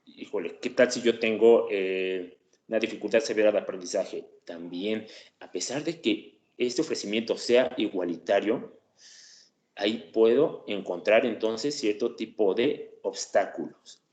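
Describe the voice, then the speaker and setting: Low-voiced teacher in an echoey room. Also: male voice, measured pace, darkish tone. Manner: conversational, checks in with frequent tag questions and fillers. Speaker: teacher presenting a class topic. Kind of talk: explanatory lecture on education.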